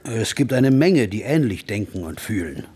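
Slightly husky, reserved gentleman traveller. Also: lowish voice, sing-song, normal speed. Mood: detached reflective. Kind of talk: radio play acting.

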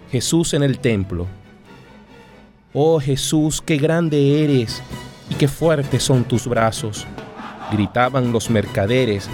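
A man's voice: medium pitch, a little mellow. Man reciting comic verse over music. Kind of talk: theatrical recitation of satirical rhymed couplets.

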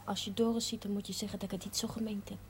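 A woman's voice: medium pitch, flat delivery, racing, lightly acted. A Dutch actress playing a naive, plucky pregnant girl from a poor family, candid and childlike, sometimes dropping to a whisper, in a television drama. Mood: sheepish, playful. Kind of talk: naturalistic 1970s television drama acting. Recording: faintly noisy 1970s television soundtrack.

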